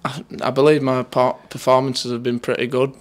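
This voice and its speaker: A man's voice: mid-range voice, little pitch movement, normal speed. A man in his twenties with a Northern English accent, giving a casual, matter-of-fact post-match interview as a player. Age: 20s. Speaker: footballer being interviewed after a match. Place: indoors in front of a sponsor board. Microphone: handheld interview microphone held near him.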